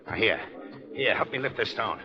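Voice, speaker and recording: An actor playing a ruthless, cocksure lifer convict in an old radio crime drama, bossy, slangy and tough. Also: male voice, on the low side, expressive, moderate pace, lightly acted. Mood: cold, urgent. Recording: muffled old radio recording, crowd noise.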